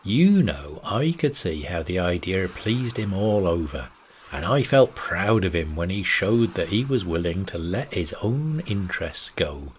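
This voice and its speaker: A man's voice: deep voice, very animated, unhurried, a little hiss or hum. A man narrating a bedtime audiobook, telling an old seafaring yarn in the first person. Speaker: story reader.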